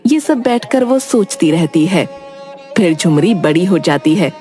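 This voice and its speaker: A woman's voice: fairly low pitch, expressive, unhurried, warm tone. A woman narrating a scripted cartoon moral tale as its storyteller. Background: background music.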